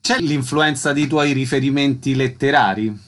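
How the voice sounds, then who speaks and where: A man hosting a radio interview, introducing and questioning his guest in a measured way. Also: mid-range voice, fairly bright tone.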